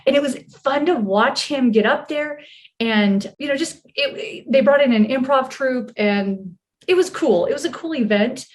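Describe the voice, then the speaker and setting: A woman's voice: sits high, expressive, normal speed. An American woman, an actress being interviewed, telling stories casually in a chatty, self-deprecating way. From California and Oregon, United States. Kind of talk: casual storytelling chat.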